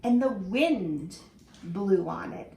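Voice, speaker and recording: A woman teacher reading a picture book aloud to children in an animated way, stopping to ask them questions. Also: medium pitch, slow, gravelly, a little hiss or hum. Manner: animated, asks the listener questions. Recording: echoey room with faint ambience.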